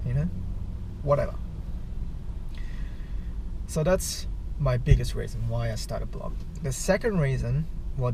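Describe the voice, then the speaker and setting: Japanese-native man vlogging over traffic noise. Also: medium pitch. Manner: rambling, restarts often, searching for words. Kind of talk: casual vlog monologue. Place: outdoors on the way to work, traffic nearby. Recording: street traffic noise behind. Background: car traffic.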